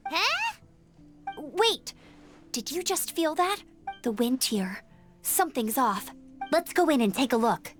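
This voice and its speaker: Casting: an earnest, spirited young fantasy game heroine, high voice, very expressive, music underneath. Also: female voice, slow, pauses often, full character voice. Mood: urgent. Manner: earnest, energetic, emphatic. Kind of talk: video game voice acting. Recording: game audio with music behind.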